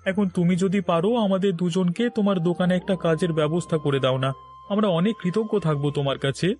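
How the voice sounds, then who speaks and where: A man's voice: high voice, quick pace, darkish tone, soft background music, close to an everyday voice. A man voicing a humble married neighbour in a moral-story cartoon, with a deferential, pleading manner. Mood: pleading, aggrieved.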